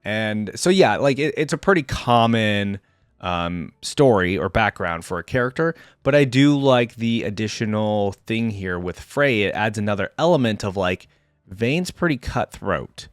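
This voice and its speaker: A man's voice: on the low side, expressive, clean tone, no room sound. Casual man reading aloud, dry close sound. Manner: casual, breaks off to comment, drawn-out pauses. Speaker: reaction video host.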